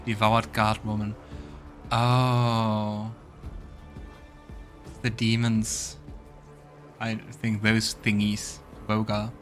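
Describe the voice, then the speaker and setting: Lower-voiced man doing game commentary. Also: monotone, very slow, long pauses, faint music behind. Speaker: let's play host. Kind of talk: game commentary and reading game text aloud.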